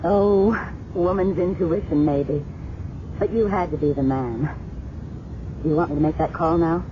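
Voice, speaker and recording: An actress playing an earnest, brave young woman with a gently resolute manner in a 1970s radio drama. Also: low-pitched, measured pace. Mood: earnest, resolved. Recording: dull, noisy old radio recording.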